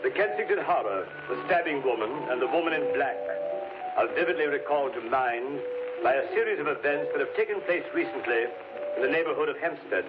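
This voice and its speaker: An actor playing a formal newspaper reporter reading out a grim report, declamatory in 1930s radio drama style. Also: male voice, a little high, expressive, brisk, loud music behind the voice, lightly acted. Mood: grave, urgent. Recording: tinny old radio recording.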